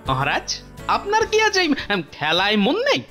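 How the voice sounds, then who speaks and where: A high, very lively cartoon jester speaks over loud music. The figure is clever and sly, explaining things coaxingly, with teasing hesitations. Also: normal speed, exaggerated voice. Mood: respectful, probing. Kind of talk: animated cartoon dialogue.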